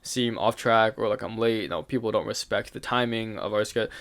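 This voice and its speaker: A man's voice: fairly low pitch, fairly quick, fairly bright tone. A young man hosting his channel, telling a personal story in a candid, rambling way, with fillers, swearing and occasional laughs. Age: young adult. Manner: laughs now and then while talking. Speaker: channel host. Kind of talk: casual storytelling rant.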